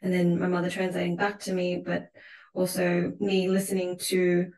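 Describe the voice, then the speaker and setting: Even-toned Australian woman, slightly low voice. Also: normal speed. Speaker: visual artist being interviewed. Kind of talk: reflective interview talk about own art. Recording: roomy, echoing sound.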